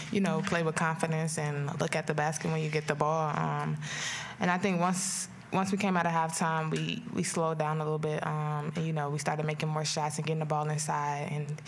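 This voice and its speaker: A young woman basketball player answering questions at a postgame press conference, low-key and soft-spoken with many ums, into a table mic. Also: a little hiss or hum. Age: young adult.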